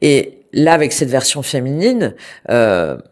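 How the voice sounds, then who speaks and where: A woman with a slightly stuffy, congested voice talks through a tarot reading for her viewers. She hesitates a lot, circling back with fillers and restarts, yet keeps a gentle, reassuring tone. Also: a bass voice, big pitch swings, measured pace. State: has a cold, congested. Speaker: tarot reader.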